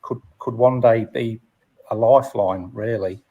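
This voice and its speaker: A man's voice: lowish voice, steady tone, measured pace, husky, phone-quality sound. A British man hosting a casual live interview, speaking in a hesitant, self-effacing way and repeating words. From United Kingdom. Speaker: host of a live chat.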